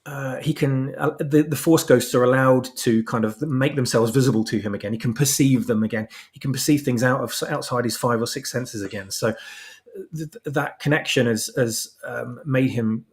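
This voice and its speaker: A man's voice: medium pitch, normal speed. A man in a casual discussion, explaining things at length as a guest author, often repeating words as he goes. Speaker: fan fiction author and podcast guest. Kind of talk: casual discussion of story lore.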